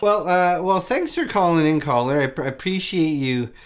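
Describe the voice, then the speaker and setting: A man hosts a low-budget comedic call-in show in a casual, fumbling way. His pitch rises and falls with lively swings. He keeps repeating his callers' words back to them. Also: moderate pace. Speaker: host.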